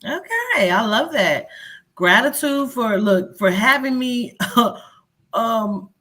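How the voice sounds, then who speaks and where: A woman's voice: a little high, very animated, slow, sharp tone. A woman guest in a casual interview, chatty and earnest.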